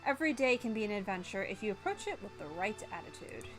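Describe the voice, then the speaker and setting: Woman reading as a proud, earnest gentleman chef. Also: mid-range voice, lively intonation, fast, soft background music, natural voice, poor audio. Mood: encouraging.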